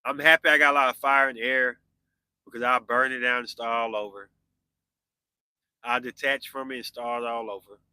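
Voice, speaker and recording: A man in his forties hosts a live podcast and talks casually with his viewers. His voice is very bright and cutting. The sound is close and dry. He answers their comments in a loose, conversational way. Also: medium pitch, steady tone, normal speed, pauses often, clear, resonant tone, no room sound, poor audio. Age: 40s. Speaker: podcast host.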